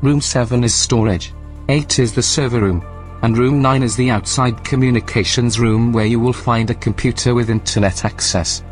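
Casting: male actor as calm AI station companion for sci-fi radio play, medium pitch, measured, courteous, emotionally even. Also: soft background music, lightly acted. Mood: unruffled. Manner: measured, formal, matter-of-fact. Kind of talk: sci-fi audio drama acting.

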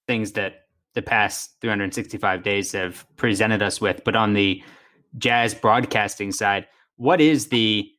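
A man co-hosting an interview, asking questions in a measured, deliberate way. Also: lowish voice, fairly bright tone. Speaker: podcast interviewer, co-host.

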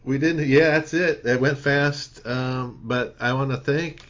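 A man's voice: mid-range voice, fairly even intonation, unhurried, fairly bright tone, lo-fi sound. A man co-hosting a casual podcast chat, rambling and restarting his sentences. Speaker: podcast co-host.

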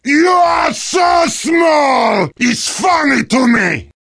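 An actor playing a big, boastful, gleefully mocking brute in a video game, shouting taunts in a drawn-out way. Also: male voice, extremely high pitch, expressive, vocal fry, heavily acted.

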